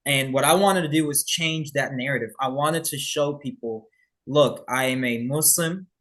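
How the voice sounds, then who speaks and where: Guy, ex-cop guest, talks slow and thoughtful, mid voice. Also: mid-range voice, a bit bright. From Birmingham, Alabama. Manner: measured, reflective, with restarts. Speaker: guest, former police officer. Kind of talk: interview answers.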